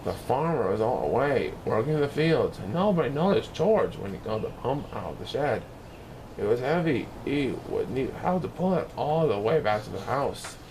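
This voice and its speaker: A man narrating a children's storybook in an expressive, deliberate storytelling manner with dramatic emphasis. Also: medium pitch. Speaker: storybook reader. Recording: clear background noise.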